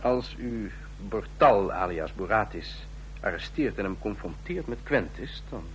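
An actor playing a suave, scheming ambassador in a Dutch radio drama, speaking formally and deliberately. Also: male voice, fairly low pitch, expressive, a little hiss or hum, low-quality recording. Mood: conspiratorial. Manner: formal, deliberate, declamatory when toasting. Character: suave, scheming ambassador of a small authoritarian state.

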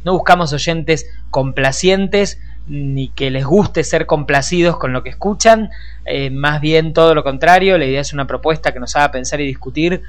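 An Argentine man presenting a radio programme in a conversational, discursive way, speaking directly to listeners. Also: a little high. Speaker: radio host and journalist.

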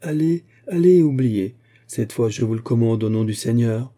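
A shrewd bishop who was once a musketeer, acted out by a male narrator. He speaks solemnly and with measured authority. The voice is very dark and husky, as in a storybook adventure. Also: mid-range voice, close to an everyday voice. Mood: solemn, commanding.

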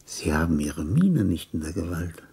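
An actor playing a dying old composer in a radio drama, bitter and grandiose, with a frail, gravelly voice and halting rants that break into cackles and whispers. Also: male voice, sits low, big pitch swings, warm tone, exaggerated voice. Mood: weary, suspicious. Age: older.

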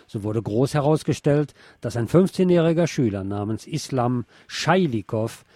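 A man reading scripted radio news in a measured, formal manner. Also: medium pitch, lively intonation, mellow, no room sound.

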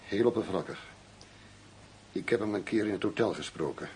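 An actor playing a grave, determined police commissioner in a radio play, speaking in a serious, deliberate, formal way. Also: male voice, low-pitched, a little mellow, a little hiss or hum, lightly acted. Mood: subdued.

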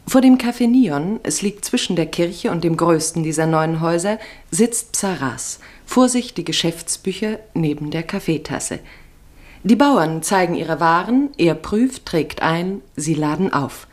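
A woman giving measured, literary narration for a radio drama. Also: fairly low pitch, expressive, a little mellow. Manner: measured, descriptive.

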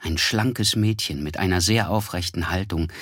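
An actor playing a reflective, observant man who narrates his memories in a measured, wry way for a German radio play. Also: deep voice, heavy background noise, natural voice. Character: reflective, observant male narrator recalling an evening.

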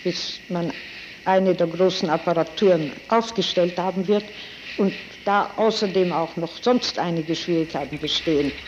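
Male voice of medium pitch on a dull, band-limited recording with faint noise. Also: normal speed.

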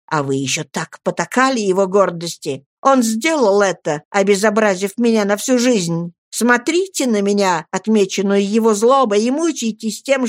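A woman reading an audiobook who voices a bitter, scarred young woman, hurling shrill, accusing, impassioned outbursts. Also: no echo, studio quality, lightly acted.

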